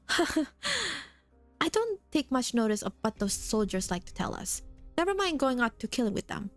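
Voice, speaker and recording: A woman voicing a warm, rambling older man who keeps a hotel, with faint music behind. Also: high-pitched, sing-song, a little slow, full character voice. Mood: fond. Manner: rambling, fond, nostalgic. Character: warm, chatty older male hotel keeper.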